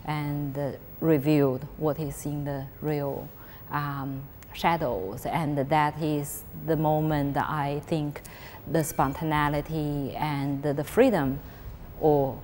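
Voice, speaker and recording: A woman with a very low voice talks slowly about her art, feeling her way through each sentence with restarts and fillers. The room gives a long reverb. A faint noise sits behind her. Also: darkish tone. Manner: halting, searching for words, many restarts. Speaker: artist. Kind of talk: explaining her artwork in an interview. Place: gallery space.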